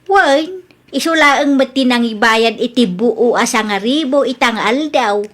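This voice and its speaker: A woman's voice: on the high side, lively intonation, a little slow, fairly bright tone. A woman playing a suspicious, insistent middle-aged village aunt in a comedy radio drama, with a nagging, scolding manner. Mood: worried.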